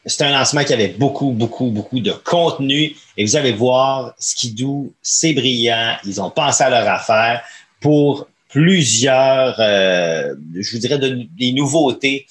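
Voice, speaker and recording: A man's voice: mid-range voice, measured pace. Enthusiastic Quebec French podcast host, man. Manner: enthusiastic, colloquial. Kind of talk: casual podcast chat. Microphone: close studio microphone on arm, headphones.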